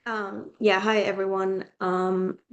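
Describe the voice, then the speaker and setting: A woman's voice: medium pitch, flat delivery, slow pace, highs cut off. A young woman whose first language is Finnish, a student tutor chatting casually with advice, full of "like" and ums. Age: young adult.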